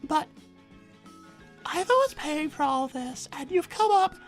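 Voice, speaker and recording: Exasperated cartoon mom played by a woman, very high, animated pitch, firm and sardonic, livestream with faint music underneath. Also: measured pace. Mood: stern, impatient. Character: Davina, stern no-nonsense exasperated mother collecting money. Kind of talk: improvised character livestream.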